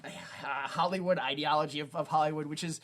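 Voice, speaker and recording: A man's voice: fairly high pitch, fairly even intonation, fairly quick, a bit bright. A man co-hosting a comedy podcast in a sarcastic, casual way, swearing often. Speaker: podcast co-host.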